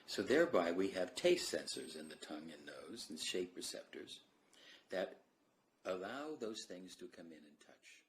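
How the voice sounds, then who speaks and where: A man with a deep voice gives an explanatory lecture. His pitch moves around a lot, and there is faint noise on the recording. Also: sing-song, normal speed. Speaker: presenter.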